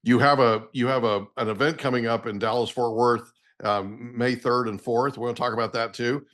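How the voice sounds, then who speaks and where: A man's voice: mid-range voice, steady tone, normal speed, a bit bright. A man hosting an interview podcast, welcoming his guest with friendly, affirming interjections. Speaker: podcast host. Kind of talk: interview and read ad.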